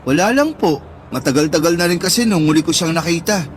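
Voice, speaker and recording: A man's voice: a little high, expressive, fast, slight background noise, close to an everyday voice. A man acting an affectionate, pleading son who speaks respectfully to his mother in a radio drama.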